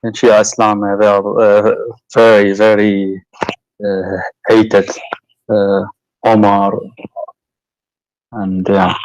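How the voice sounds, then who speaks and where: A man with a lowish voice who talks slowly and hesitantly, pausing often with fillers. He answers as a guest in an online discussion. The sound is dry and close. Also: level tone, darkish tone, no room sound, muffled recording. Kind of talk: online debate on a call.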